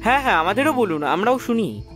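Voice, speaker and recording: Very high-voiced, chatty comic sidekick. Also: male voice, big pitch swings, quick pace, a bit bright. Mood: eager, jovial. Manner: inquisitive, eager, jovial. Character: chatty, curious, food-loving male friend, comic sidekick. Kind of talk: audio drama dialogue. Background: faint background music.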